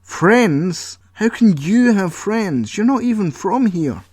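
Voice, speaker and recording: A man's voice: high-pitched, lively intonation, slow, darkish tone, dry recording, studio quality, voice pushed up, exaggerated voice. A man voicing a hot-tempered, secretive young inventor who rants in indignant bursts. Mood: furious. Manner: indignant, paranoid ranting.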